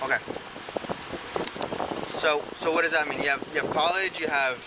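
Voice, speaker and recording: A man working as a police officer, giving firm, rapid instructions during a roadside sobriety test. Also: fairly high pitch, steady tone. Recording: noisy police bodycam audio.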